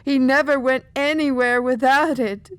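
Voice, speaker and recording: A woman's voice: high-pitched, fairly even intonation, normal speed, clean tone, dry recording, lightly acted. A woman playing a weary, hard-bitten female pony mercenary commander in an audio drama, speaking in an earnest, world-worn way. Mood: worried, earnest.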